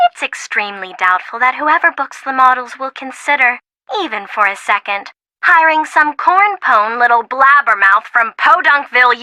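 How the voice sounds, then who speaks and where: Young female game character, higher-pitched, bossy fashion assistant, exasperated, sarcastic, expressive, dry studio sound. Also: no room sound, heavily acted, rough recording. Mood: snide, anxious. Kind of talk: video game voice acting.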